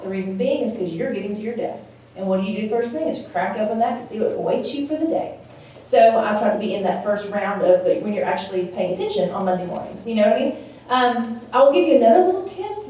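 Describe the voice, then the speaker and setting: Woman, big theatrical voice, fairly high pitch, echoey room, distant mic. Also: dark tone, slight background noise. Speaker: foundation staff speaker. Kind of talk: animated conference Q&A answer. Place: large echoey conference room.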